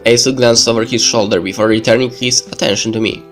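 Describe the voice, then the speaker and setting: A man who is a bit sick hosts a let's play, reading a visual novel script aloud in a halting, stumbling way. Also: medium pitch, fairly even intonation, a bit bright. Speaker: let's play video host. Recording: music behind the voice. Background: background game music.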